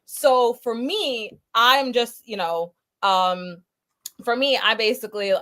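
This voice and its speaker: A woman's voice: a little high, takes it slowly, pushed and bright. A young woman from Guyana, a podcast guest, talking casually about her career with lots of filler words. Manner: chatty, many "like" fillers. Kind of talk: casual chat.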